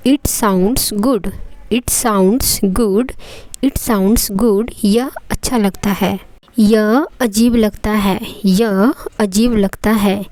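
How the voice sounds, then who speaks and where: A woman from India with an Indian accent, teaching English phrases in a lesson and repeating each example slowly and deliberately. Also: mid-range voice, warm tone, dry recording. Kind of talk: Hindi-language English lesson with drilled phrases.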